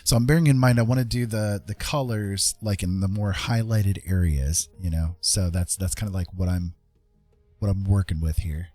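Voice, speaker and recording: A man speaks in a fairly low voice over soft background music. He hosts a casual art stream, talking through each step as he goes. His delivery is halting and rambling, like someone working things out aloud. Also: steady tone, no echo. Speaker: livestream host, digital artist. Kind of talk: casual chat while drawing on a livestream.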